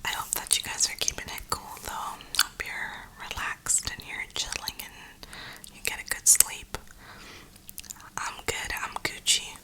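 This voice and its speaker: A woman host giving a slangy, reflective ASMR ramble in a breathy whisper. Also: sharp tone, low-quality recording. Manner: slangy, reflective, unhurried pauses. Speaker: ASMR gaming video host.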